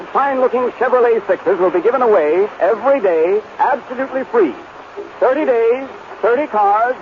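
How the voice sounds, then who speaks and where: An American man working as a 1930s radio announcer, presenting the program and pitching its sponsor in period announcing style. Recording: muffled, faintly noisy 1930s radio recording.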